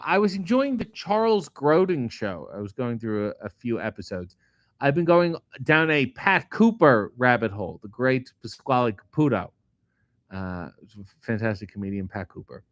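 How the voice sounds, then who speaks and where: A middle-aged man, a comedian host, reading viewer comments aloud and answering them in a casual, profane, deadpan way. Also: mid-range voice, no room sound. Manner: profane, sardonic, deadpan asides. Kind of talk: casual chat answering live chat questions.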